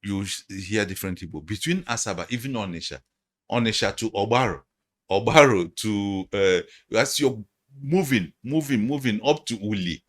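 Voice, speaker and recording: A man's voice: lowish voice, animated, moderate pace. A man, a guest analyst in a conversational interview, explaining emphatically and repeating his phrases.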